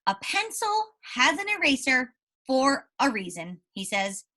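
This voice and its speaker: High, animated woman teaching young children. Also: a little slow. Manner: encouraging, checks in with questions. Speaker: primary school teacher. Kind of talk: reading aloud and explaining to young pupils.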